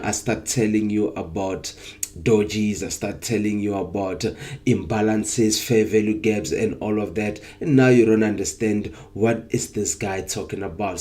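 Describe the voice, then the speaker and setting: A young man hosting a live trading stream, sick with flu so his voice is less clear, upbeat and hyping up his viewers with plenty of ums. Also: lowish voice, warm tone. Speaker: trading session host.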